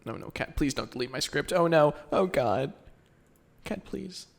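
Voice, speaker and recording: A man with a breathy voice in casual outtake chatter, coaxing a pet in a repetitive, amused and exasperated way. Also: fairly high pitch, lively intonation, a little slow, slight background noise.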